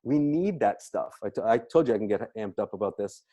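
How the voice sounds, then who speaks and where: A man's voice: lowish voice, expressive, a little fast, warm tone. A man giving an enthusiastic, emphatic product presentation as a health practitioner, repeating points as he explains. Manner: emphatic, repetitive, salesy explaining. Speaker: health practitioner promoting supplements.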